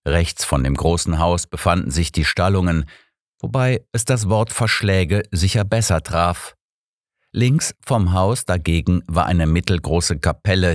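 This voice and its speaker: Male narrator for a scripted audiobook, low register, dry close sound. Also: dry recording.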